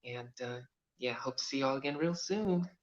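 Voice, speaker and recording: A woman's voice: extremely low pitch, moderate pace. A woman musician casually thanking her audience and signing off over a muffled online stream. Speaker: singer and musician.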